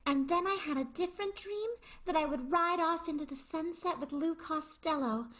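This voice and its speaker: A woman plays an ambitious burlesque queen in a radio soap. Her voice is distinctly high, dreamy and dramatic, with a hunger for power. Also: moderate pace, fairly bright tone. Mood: dreamy, scheming. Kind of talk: improvised radio soap opera acting.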